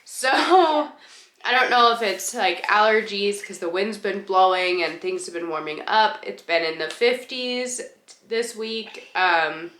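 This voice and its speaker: Congested lady rambling about her books, bright voice, echoey room with a bit of hiss. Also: medium pitch, slow. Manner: chatty, rambling, with laughs and fillers. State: congested, stuffy nose. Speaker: book vlogger. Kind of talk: casual reading vlog chat. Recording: echoey room with faint noise.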